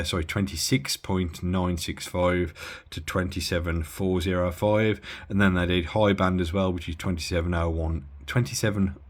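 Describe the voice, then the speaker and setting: Low-voiced man rambling about gear. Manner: rambling, self-correcting, lots of filler. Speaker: radio hobbyist reviewing gear. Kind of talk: casual bench-test walkthrough.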